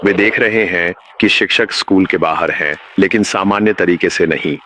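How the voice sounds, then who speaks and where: A man playing a grave, menacing emergency announcer in a scripted horror broadcast, speaking in a measured way. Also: deep voice, bright, forceful tone, a little hiss or hum. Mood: grave, ominous. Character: ominous male emergency broadcast announcer warning of uncanny teachers. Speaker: narrator.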